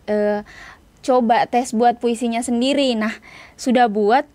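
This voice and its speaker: Young woman, higher-pitched, chatting informally. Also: steady tone, a little slow, darkish tone. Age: young adult. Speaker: interview guest, student writer. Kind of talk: casual interview chat.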